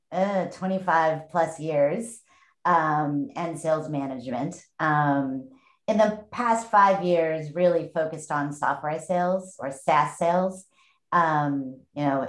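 Woman in her 40s, sales exec on a video call, low voice, takes her time, echoey room. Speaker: sales executive and panelist. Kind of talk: webinar panel talk. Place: home room, indoors.

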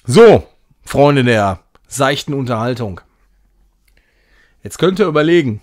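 A man comments casually on his gameplay for a live stream. His pitch moves around in a very lively way, sitting in a medium register. He is chatty and jokey, with a self-ironic, mock-grand streak. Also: slow. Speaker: game streamer.

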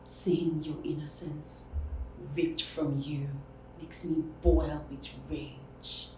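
A Nigerian woman playing a wounded, vengeful big sister in a dramatic monologue, speaking in an intense, breathy, halting hush. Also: low voice, flat delivery. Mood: bitter, numb. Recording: echoey room, background noise.

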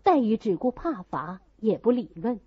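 A woman narrating a classic novel as an expressive audiobook reading. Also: on the high side, moderate pace, husky, dry recording. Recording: dull, muffled audio.

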